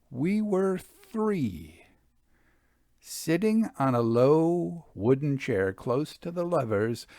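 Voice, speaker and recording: Slow, dramatic male ghost-story narrator. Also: fairly high pitch, creaky, darkish tone, no room sound, clean studio sound. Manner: deliberate, theatrical, suspenseful delivery with dramatic pauses.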